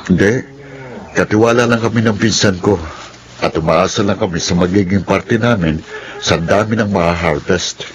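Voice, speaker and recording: A man's voice: deep voice, normal speed, slight background noise, lightly acted. A man playing a humble, shy young farm caretaker in a Filipino radio drama. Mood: humble, friendly.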